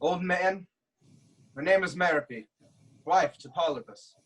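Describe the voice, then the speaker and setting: A man's voice: sits high, measured pace, fairly bright tone, poor audio. An actor playing a proud, troubled king haunted by prophecy, in an intense, declamatory reading of Greek tragedy. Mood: fearful.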